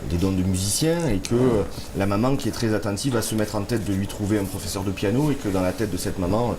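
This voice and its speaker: A man's voice: lowish voice, fast, background noise. A man, an author, answering interview questions in a thoughtful, hesitant way with many fillers, a little stuffy from a cold. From France. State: slightly congested with a cold. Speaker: author guest. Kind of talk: relaxed interview conversation.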